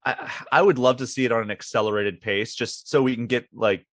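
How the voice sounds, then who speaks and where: A man's voice: mid-range voice, brisk, fairly bright tone, dry recording, clean studio sound. Easygoing male podcast co-host. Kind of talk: casual sports chat.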